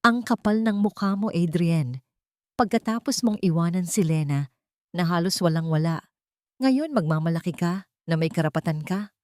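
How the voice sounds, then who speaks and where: A woman's voice: lowish voice, animated, moderate pace, a little mellow, no echo, clean studio sound, lightly acted. Woman narrator as a blunt, protective female friend. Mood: indignant. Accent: Filipino. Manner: scolding, blunt. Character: protective, indignant woman, loyal friend of a wronged mother.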